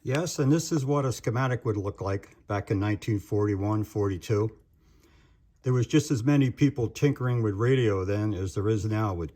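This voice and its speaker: A man's voice: fairly low pitch, darkish tone. An older man with an American accent giving an informal, folksy show-and-tell narration as a host, explaining things as he goes. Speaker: host of a vintage collectibles show.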